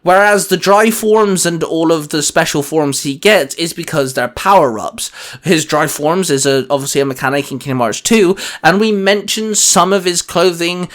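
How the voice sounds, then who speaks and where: Higher-pitched man hosting, casual and chatty. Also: moderate pace. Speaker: host. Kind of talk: casual gaming chat.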